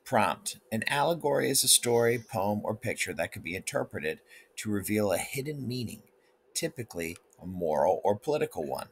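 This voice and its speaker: A man's voice: lowish voice, expressive, moderate pace, slight background noise. A male teacher, guiding students through an instructional read-aloud lesson.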